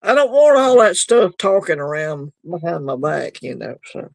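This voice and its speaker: Easygoing male stream host, slightly high voice, gravelly edge, rambling talk. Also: very animated, moderate pace, no room sound. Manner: rambling, easygoing, laughs easily. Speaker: livestream host. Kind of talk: casual chat.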